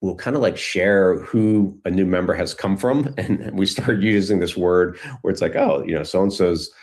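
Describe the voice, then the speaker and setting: Man, very low deep voice, casual conversational answers, informal, halting delivery. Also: a little mellow. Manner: informal, halting, thinking aloud. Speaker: guest speaker, community founder.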